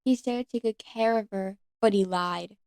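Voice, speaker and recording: A woman voicing a lonely, ancient nature fairy, sweet and timid, speaking haltingly and sometimes whispering in an ASMR roleplay. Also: mid-range voice, a little mellow, clear, resonant tone, dry recording, studio quality, natural voice. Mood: grieving. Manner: hesitant, halting, apologetic, often whispering. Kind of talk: ASMR roleplay, one-sided conversation with the listener.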